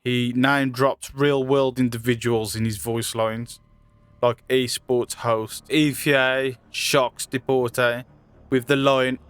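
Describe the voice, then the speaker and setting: A man's voice: medium pitch, flat delivery, slow pace, a bit bright, smooth, dry recording. A man reading scripted trivia narration as the narrator of a video. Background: music.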